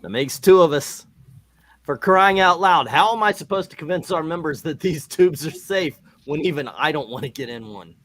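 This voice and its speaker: A man playing a flustered, self-doubting chairman of a superhero team in an amateur comic read, halting and awkward. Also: a little high, animated, a bit bright. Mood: exasperated. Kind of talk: loose amateur comic-book table read.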